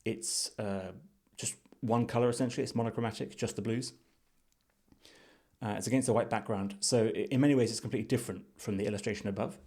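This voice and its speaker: A man with a fairly low voice talks through what is on his screen as a reviewer. His delivery is unscripted and thoughtful, measured as he reasons aloud. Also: fairly even intonation, darkish tone, breathy edge. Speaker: design reviewer. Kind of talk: unscripted screen-share commentary.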